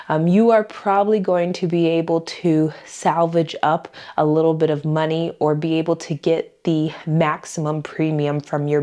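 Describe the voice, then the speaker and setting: A woman's voice: low voice, darkish tone. A young woman from Florida hosts a casual vlog. She has a cold and sounds congested and a little nasal, and she talks in a chatty, emphatic way with plenty of "y'all" and "um". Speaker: YouTube host. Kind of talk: casual talking-head vlog.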